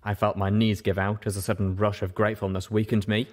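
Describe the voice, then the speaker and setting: A man narrating a story in an expressive audiobook style. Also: lowish voice, fast talker, slight background noise.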